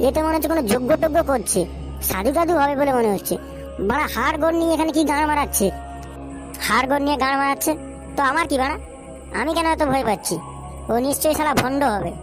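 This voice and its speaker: A woman's voice: sits high, quick pace, long pauses, over music, natural voice. A woman voicing a crude, boastful young boyfriend in a comic cartoon dub. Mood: uneasy, nosy. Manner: crude, swaggering, repetitive. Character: foul-mouthed cocky young boyfriend, boastful yet cowardly.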